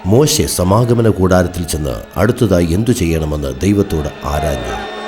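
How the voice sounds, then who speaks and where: A man with a deep voice delivers scripted, dramatic story narration. Soft music runs faintly behind his voice. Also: lively intonation, moderate pace.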